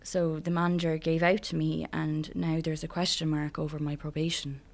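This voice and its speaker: Guarded young Irish woman, lowish voice. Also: level tone, moderate pace, creaky. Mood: guarded, sullen. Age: young adult. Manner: guarded, apologetic. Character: anxious, downbeat young female shop worker. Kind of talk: naturalistic radio drama acting.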